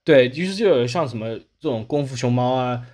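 A man's voice: mid-range voice, animated, fairly quick, darkish tone. A man hosting a casual podcast discussion, explaining his points through examples. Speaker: podcast host.

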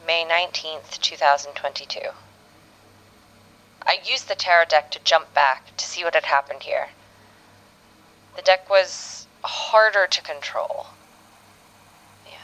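A woman's voice: on the low side, fairly bright tone, rough recording. A woman playing a weary time-travelling agent, recording a hesitant field log in an improvised audio drama. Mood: wary. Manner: halting, hesitant, searching for words.

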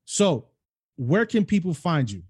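A man's voice: fairly high pitch, unhurried, breathy edge. A middle-aged man hosting a podcast, talking casually and emphatically, grown up in New York City and Cleveland. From New York City and Cleveland, Ohio. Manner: emphatic, animated storyteller. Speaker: podcast host. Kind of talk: casual interview chat.

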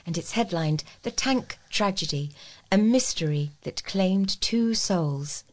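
A woman reading scripted local news as a volunteer reader. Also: medium pitch.

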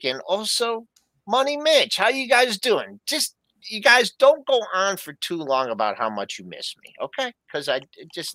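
A man hosting a markets show, reading off prices in a brisk, chatty way. His voice is bright and swings widely in pitch, and it sounds dry and close to the mic. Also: dry recording. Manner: brisk, lively delivery of price quotes. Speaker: show host. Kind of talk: market news rundown and co-host chat.

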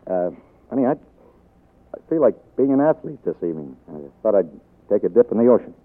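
A man's voice: lowish voice, sing-song, a little fast. A man acting a rueful, affectionate, hesitant alcoholic former film star in a 1940s radio drama. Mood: sheepish, tender. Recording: muffled, band-limited 1940s radio recording.

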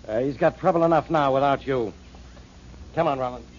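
An actor playing a gruff, skeptical male police inspector in a 1940s radio drama, on a muffled old broadcast. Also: mid-range voice, fairly quick, a little hiss or hum, close to an everyday voice. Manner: gruff, dismissive.

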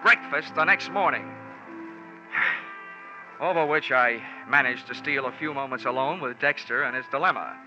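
An American actor playing a tough, wisecracking insurance investigator in a 1940s radio detective drama, with sardonic, clipped delivery. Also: male voice, mid-range voice, measured pace, natural voice. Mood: wry narrating. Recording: dull vintage 1940s radio recording, faint music.

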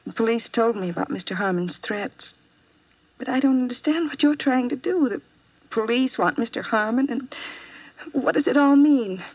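An actress playing a weary, guarded doctor's employee in a 1950s radio drama, speaking hesitantly with an American accent. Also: female voice, on the high side, animated, normal speed, dry recording. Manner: hesitant, evasive, sighing. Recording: dull, dry 1950s radio recording.

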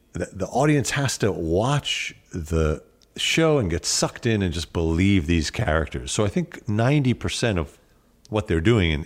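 A man talking as an interview guest, reflective and wry in a conversational way. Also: low voice. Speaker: guest, actor and standup comedian.

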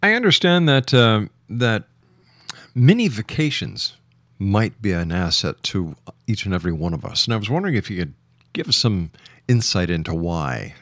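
Low-voiced male radio host, dry sound. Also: very animated, moderate pace, a bit bright, no room sound. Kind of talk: radio interview.

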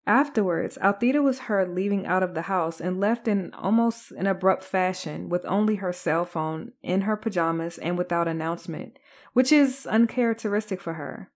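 A woman's voice: on the low side, normal speed. A woman podcast host delivering scripted true crime narration.

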